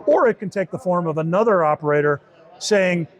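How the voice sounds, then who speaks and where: A man's voice: sits high, brisk. A man giving an informal, step-by-step demo and explanation of how something works. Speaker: beta test supervisor giving a product demo.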